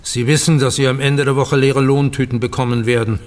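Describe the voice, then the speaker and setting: Audio drama role: dignified, embittered older male banker played by a man, formal and cutting, medium pitch, long reverb. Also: flat delivery, natural voice. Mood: resigned. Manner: formal, measured, biting sarcasm. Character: bitter, dignified older bank co-founder facing ruin. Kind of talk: German audio drama acting.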